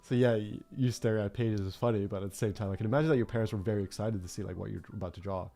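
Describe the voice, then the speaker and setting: Low-voiced man chatting while he draws. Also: very fast, gravelly, warm tone. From Norway. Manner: rambling, reflective, many fillers and restarts. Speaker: art streamer. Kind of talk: casual chat while drawing on a livestream.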